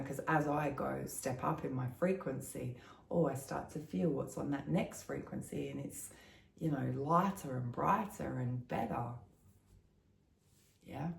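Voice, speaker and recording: A middle-aged woman with a croaky voice after the flu, giving a casual spiritual energy forecast and often adding "yeah?" to her sentences. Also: very deep voice, roomy sound, slight background noise. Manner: chatty, often asks a tag "yeah?".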